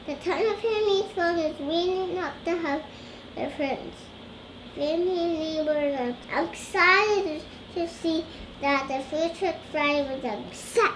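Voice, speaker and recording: Young girl, high voice, halting storybook read-aloud, stumbles and repeats, echoey noisy room. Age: child. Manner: hesitant, stumbling over words, repeating phrases. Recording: roomy echo with clear background noise.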